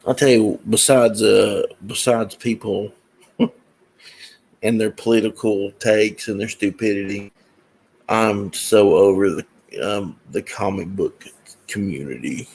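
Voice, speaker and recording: A man talking in a relaxed, rambling way, full of fillers and restarts. His voice sits low, with a gravelly rasp and a husky, breathy texture. It sounds like someone chatting to a small audience of regular viewers. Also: slow pace, rough recording. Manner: rambling, meandering, with many fillers. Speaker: musician and comic creator. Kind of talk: casual talk to camera.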